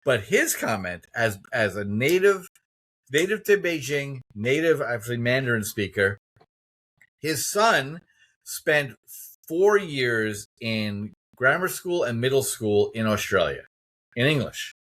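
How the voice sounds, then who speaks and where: A man in his fifties hosts a podcast conversation, speaking at an ordinary, middling pitch. He drives his points home with emphatic, opinionated storytelling. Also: very animated, slow, a bit bright. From United States. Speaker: podcast host. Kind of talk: conversational interview.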